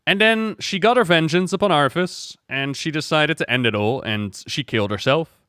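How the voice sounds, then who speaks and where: A man's voice: a little high, moderate pace, clear, resonant tone, dry recording. Dry-sounding man doing casual reaction commentary. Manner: animated retelling, acting out quotes, repeating words for emphasis. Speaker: gaming video host.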